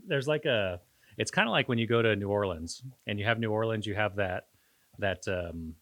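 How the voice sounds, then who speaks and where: A man co-hosting a podcast in casual, reflective conversation, pausing and searching for his words as he talks. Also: fairly low pitch, lively intonation, fairly bright tone. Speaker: podcast co-host.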